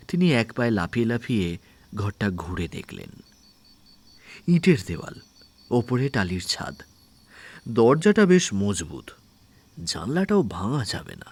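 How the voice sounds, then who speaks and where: A man's voice: mid-range voice, slightly rough. A man narrating a scripted audio story as its dramatic storyteller.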